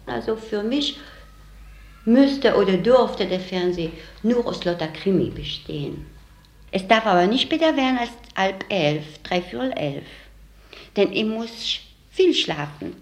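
A woman's voice: mid-range voice, big pitch swings, measured pace. A saleswoman giving a casual interview account of everyday life, recorded with a roomy sound. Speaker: department store saleswoman interviewee.

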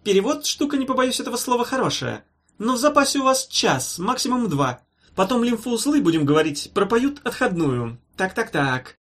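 Audiobook doctor: pompous, fussy, high-pitched, wheedling and sly, swooping pedantic patter. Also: male voice, sing-song, moderate pace, heavily acted. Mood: sly, hinting. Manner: wheedling pedantic patter, tutting, padded with stock phrases. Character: pompous, fussy, sly male medical professor, falsely soothing.

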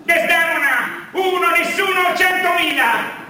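A sharp, extremely high-pitched crusader husband declaims with self-important melodrama. It is absurdist comic theatre, heard from a distance in a very echoey space with faint noise. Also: male voice, a little slow, full character voice. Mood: declamatory, plaintive. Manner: declamatory, pompous, shouting. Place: stage, picked up from a distance.

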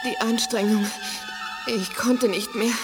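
A woman's voice: on the high side, fairly even intonation, takes it slowly. Audio drama female mutant: exhausted, faint, willing, dragging pace, heavy score over her. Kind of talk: 1980s German radio drama acting. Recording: loud music over voice.

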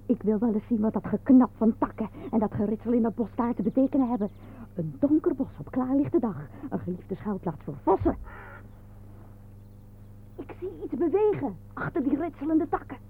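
An actress playing an excitable, quarrelsome office telephone operator in a theatrical, scornful radio play style. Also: female voice, on the high side, moderate pace, slightly rough. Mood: brisk, bossy. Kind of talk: absurdist radio play acting. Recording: thin, phone-like old radio recording.

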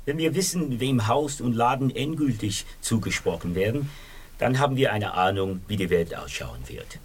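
A disillusioned Austrian in a radio drama. His voice is husky and mid-pitched, with a dry sardonic edge and an Austrian accent. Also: expressive, normal speed. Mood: bitter, disillusioned. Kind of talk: radio play acting.

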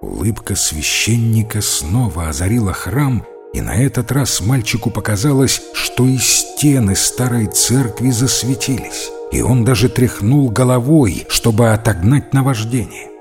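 A man's voice: medium pitch, animated, measured pace, few pauses. A man narrating an audiobook tale in a scripted storytelling style. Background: music.

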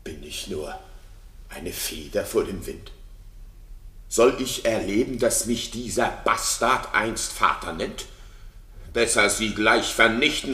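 A man's voice: on the low side, bright, forceful tone, echoey room, heavily acted. An actor playing a wrathful, jealous, domineering king in a classic German radio drama, with commanding, declamatory delivery. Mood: bitter, brooding.

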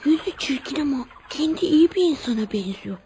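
Lady doing a small polite shy boy, really high voice, radio play, slight background noise. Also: animated, a little fast, mellow. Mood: shy, respectful. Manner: polite, hesitant, deferential. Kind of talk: Turkish radio theater acting.

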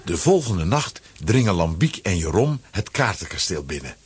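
A man with a husky voice narrating a children's audio play as a dramatic scripted story. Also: deep voice, very animated, moderate pace, noisy background.

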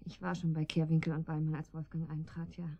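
Anxious, husky captive wife. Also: female voice, low-pitched, monotone, fast, warm tone, highs cut off. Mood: subdued. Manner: hesitant, pleading, breaks off sentences. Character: anxious captive wife, pleading and probing her guards. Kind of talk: German radio drama acting.

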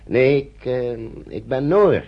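A man's voice: mid-range voice, expressive, slow. An actor playing a guarded, courteous male traveller in a radio play, hesitant and evasive in his speech. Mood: evasive, reassuring. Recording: dull noisy old radio recording.

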